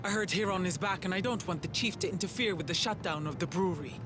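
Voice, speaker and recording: An actor playing a stern, zealous, commanding alien war leader in a video game. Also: male voice, high voice, fast talker, over music, lightly acted. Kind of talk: video game cutscene acting.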